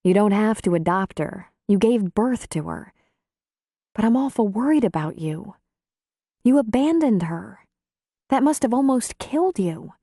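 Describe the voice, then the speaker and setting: A woman narrator plays a caring, level-headed midwife in an audiobook. The voice is medium in pitch and very mellow, with an earnest, gently firm concern, recorded close and dry. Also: animated, measured pace, no echo. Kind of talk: audiobook character dialogue.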